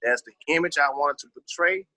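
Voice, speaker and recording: A man's voice: on the high side, a little fast, pushed and bright, no room sound. A middle-aged man with a Southern American accent, reminiscing casually as an interview guest in an emphatic, slangy, streetwise way peppered with swearing. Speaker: interview guest, veteran rapper.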